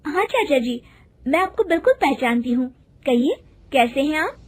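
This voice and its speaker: A woman's voice: high voice, animated, moderate pace, no echo, pitched above the performer's own voice. A woman voicing a polite, meek young daughter-in-law in a moral story. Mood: polite, concerned.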